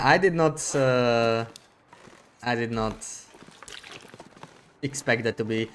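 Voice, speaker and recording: A man's voice: mid-range voice, fairly bright tone. A man streaming a game live, chatting casually with viewers, thinking aloud with frequent restarts. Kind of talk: casual gameplay chat, reading viewer comments.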